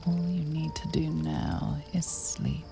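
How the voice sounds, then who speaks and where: An actress playing a solemn, ominous storyteller, narrating a dark fantasy game in a hushed, grave, deliberate way. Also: female voice, low voice, level tone, gravelly, heavily acted. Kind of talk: dramatic second-person game narration. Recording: music under the voice.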